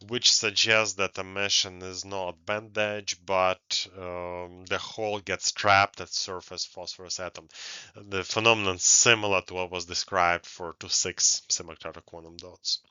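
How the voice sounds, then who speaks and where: Halting low-voiced man lecturing, dull headset sound. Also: sharp tone, smooth, dry recording. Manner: halting, frequent hesitations and pauses. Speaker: academic researcher presenting. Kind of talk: technical conference lecture over slides. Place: indoors, recorded online presentation. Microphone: headset on a video call. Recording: dull, band-limited headset audio.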